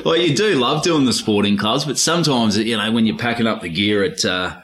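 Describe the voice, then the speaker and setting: Deep-voiced Australian comedian, roomy sound. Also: male voice, fairly quick, a bit bright. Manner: rambling, loses track, acts out voices. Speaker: stand-up comedian. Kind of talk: casual podcast interview.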